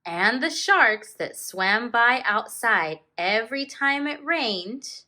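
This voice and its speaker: A woman teacher reading a picture book aloud to children, expressively and with deliberate dramatic emphasis. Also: a little high, lively intonation, pushed and bright.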